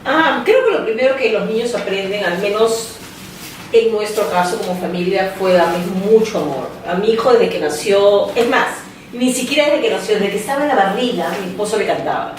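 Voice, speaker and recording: This voice belongs to a woman, a mother on an education panel, who speaks in a heartfelt, reflective way. Her pitch sits in a middle range. She sounds distant, in a very echoey room with faint noise behind her. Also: expressive, normal speed. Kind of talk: panel discussion. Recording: roomy studio heard from a distance.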